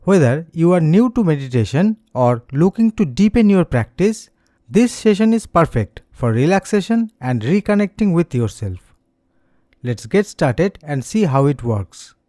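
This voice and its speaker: Mellow, close-miked man guiding meditation. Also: a little high, very animated, no room sound. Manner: gentle, soothing, unhurried instructions. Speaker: meditation instructor. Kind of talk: slow guided meditation, scripted narration.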